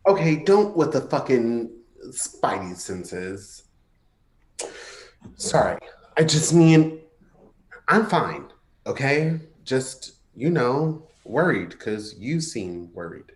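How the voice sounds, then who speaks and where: A man's voice: mid-range voice, very animated, takes it slowly. A man acting in a play reading as a guarded, edgy but caring man, speaking in hesitant, clipped phrases. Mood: defensive. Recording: roomy, echoey sound.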